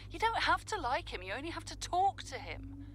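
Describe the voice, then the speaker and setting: Middle-aged mum, video game drama, wry and caring, highish animated voice, slight background noise. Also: female voice, brisk. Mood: wry, affectionate. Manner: gently nudging, wry. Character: caring, dryly candid middle-aged mother. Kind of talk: naturalistic video game cutscene acting.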